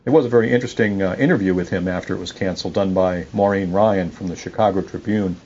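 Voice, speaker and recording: A man's voice: low voice, fast, a little mellow. A man giving casual, opinionated review commentary as a podcast listener sending in feedback. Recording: dull lo-fi audio with faint noise.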